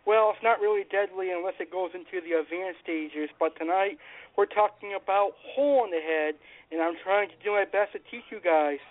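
Radio host with a cold, high voice, casual and repetitive, thin phone-like audio. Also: male voice, fairly quick. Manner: informal, repeats phrases, fillers. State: has a cold. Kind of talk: casual call-in radio talk. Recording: phone-like narrow band.

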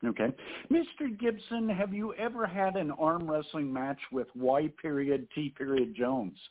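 A man's voice: fairly high pitch, moderate pace. Stiff formal man on a phone line. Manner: formal, stiff, asks long questions. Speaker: podcast co-host. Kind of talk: interviewing a guest.

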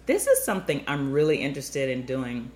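A woman's voice: very deep voice, sing-song, brisk, a little mellow, slight background noise. A middle-aged woman hosting a travel show, stuffy-nosed, chatting upbeat and rambling straight to her listeners. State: stuffy nose. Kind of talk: chatty podcast monologue.

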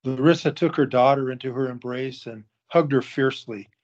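A man narrating an audio drama in a steady, measured way. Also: medium pitch, dull audio.

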